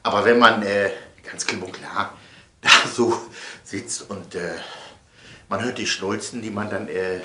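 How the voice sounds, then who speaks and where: A man's voice: fairly low pitch, steady tone, a little slow, heavy background noise. A man presenting in a casual, chatty way, rambling and emphatic, repeating phrases for effect. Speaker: presenter of his audio sketches. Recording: echoey room.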